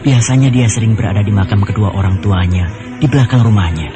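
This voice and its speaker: A man's voice: fairly low pitch, quick pace, natural voice. An actor playing an earnest young man in a radio drama, musing aloud to himself as he searches. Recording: loud music behind.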